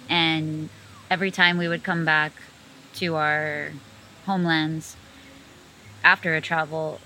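A woman's voice: low-pitched, sharp tone, a little hiss or hum, poor audio. A woman from the United States talking in a casual conversation, fluent and earnest, often saying "like" as she goes. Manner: fluent, earnest, many "like" fillers.

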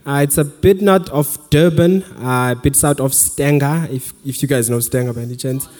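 A man introduces himself on a church panel in a friendly, slightly hesitant manner. His voice sits at a middle pitch. A long hall reverb follows his words. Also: moderate pace, a little mellow. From KwaZulu-Natal, South Africa. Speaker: panel guest.

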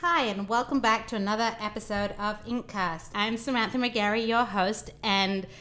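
A woman hosts a podcast interview in a relaxed, conversational way. She asks curious questions in a mid-range voice, with easy pacing. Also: mid-range voice, normal speed, soft background music. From not from Boston. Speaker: podcast host.

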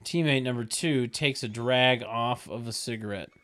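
A man's voice: medium pitch, normal speed, fairly bright tone. A man narrating a table read, reading stage directions and adding sarcastic comic asides in a mock-announcing way.

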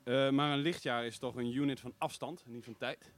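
A man's voice: medium pitch, steady tone, brisk, a little hiss or hum, lightly acted. A man playing a pedantic, confused helmsman and put-upon sidekick in a comic sci-fi radio play, hesitant and questioning. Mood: baffled, nitpicking.

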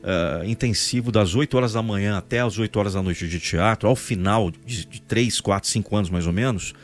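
A man's voice: lowish voice, fairly quick, soft background music. A man in his forties with a Brazilian São Paulo state accent, telling stories expressively and emphatically in a casual podcast conversation. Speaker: podcast host, voice-over announcer and actor.

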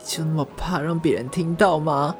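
Man acting a soft-hearted, flustered young man, music behind. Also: a little high, moderate pace, close to an everyday voice. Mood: hurt, tearful. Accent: Taiwanese. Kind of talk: radio drama acting.

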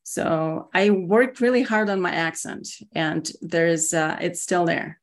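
A woman in her forties from Russia with a Russian accent, speaking casually as an interview guest, relaxed and hesitant with many pauses. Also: lowish voice. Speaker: guest, security executive.

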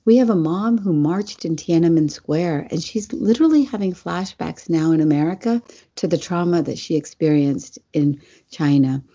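A woman's voice: low voice, moderate pace, warm tone, husky. A woman speaking as a parent activist, making an earnest, urgent appeal to the audience. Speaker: parent activist, panel guest. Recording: muffled lo-fi audio.

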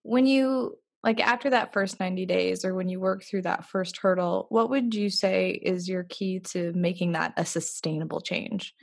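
A woman hosting an interview, gently asking probing questions. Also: on the low side, normal speed, vocal fry, hardly any pauses. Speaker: interviewer host.

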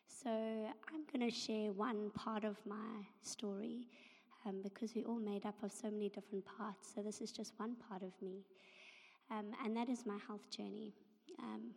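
A woman with a hoarse, half-lost voice from flu, giving a halting personal faith testimony as guest speaker at a church service. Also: mid-range voice. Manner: soft, halting, breathy near-whisper with many ums. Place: church hall. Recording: long reverb of a large hall.

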